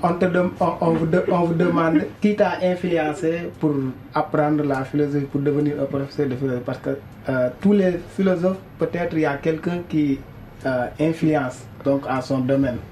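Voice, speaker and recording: A male radio host introduces guests and questions in loose, halting sentences. His tone is warm and mellow, with faint background noise behind him. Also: fairly high pitch, steady tone, poor audio. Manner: informal, halting phrasing. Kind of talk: hosting a radio talk show.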